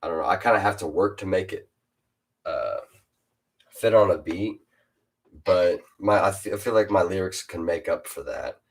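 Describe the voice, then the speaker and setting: A teenage boy with a super deep, low-pitched voice hosts a laid-back chat. He hesitates a lot, fills gaps with "uh," and restarts his sentences as he goes. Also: expressive, moderate pace, pauses often. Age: teens. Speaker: host interviewing a fellow rapper. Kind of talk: casual chat.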